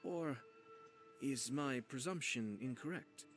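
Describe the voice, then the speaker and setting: An actor playing a courteous, formal young clan lord in a video game, with measured, polished speech and a subtly sly manner. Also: male voice, mid-range voice, music in the background, close to an everyday voice. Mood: polite, probing. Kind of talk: video game character acting.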